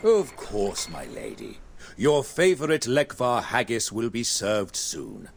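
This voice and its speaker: A man's voice: mid-range voice, sing-song, takes it slowly, vocal fry, a little hiss or hum, heavily acted. A man playing a courteous, unflappable manor butler in a video game, formal and deferential in manner. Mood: courteous, composed. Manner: deferential, ceremonious. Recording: music behind the voice.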